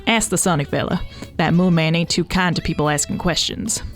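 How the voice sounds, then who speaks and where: A woman voicing a surly older male security guard with a low, folksy, curt delivery in a story. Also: fairly even intonation, a little fast, faint music behind, close to an everyday voice. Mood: gruff, advising.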